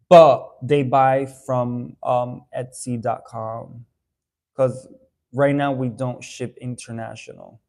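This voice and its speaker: Young adult man, mid-pitched, hosting a live stream; loose, chatty delivery with frequent fillers and restarts. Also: a little mellow. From Dominican Republic. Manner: chatty, reads out viewer comments, many fillers and restarts. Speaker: haircare brand owner answering live viewers. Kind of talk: casual live-stream chat.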